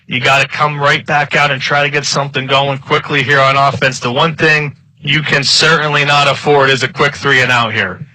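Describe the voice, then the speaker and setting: A man's voice: mid-range voice, flat delivery, normal speed, few pauses, bright, forceful tone, no echo, low-quality recording. A man with an American accent giving color commentary and analysis during a live American football broadcast.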